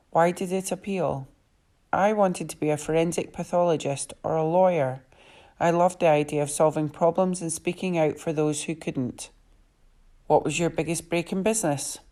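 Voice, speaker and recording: Low, mellow woman reading aloud. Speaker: volunteer newspaper reader. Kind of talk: scripted newspaper article reading.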